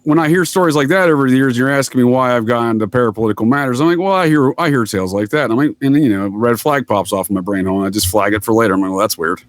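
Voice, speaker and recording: A man's voice: on the low side, no echo. A man from the United States explaining things casually as a podcast guest, with wry, folksy asides.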